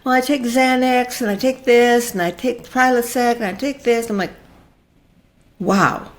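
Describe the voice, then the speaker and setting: A woman's voice: on the high side, unhurried. An older woman hosting a conversational interview, retelling exchanges by acting out what people say. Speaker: podcast host.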